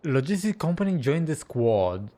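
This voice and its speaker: A man's voice: fairly high pitch, very animated, moderate pace, dark tone. A man doing casual gameplay commentary as a gaming content creator, sometimes reading game text aloud. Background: game music.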